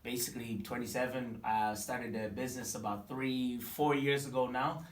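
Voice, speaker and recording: A man in his twenties from Tanzania, telling his story in a casual interview, animated and full of fillers and restarts. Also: mid-range voice, moderate pace, a little hiss or hum. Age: 20s. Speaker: business owner interviewed. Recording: echoey room, mic at a distance, faint ambience.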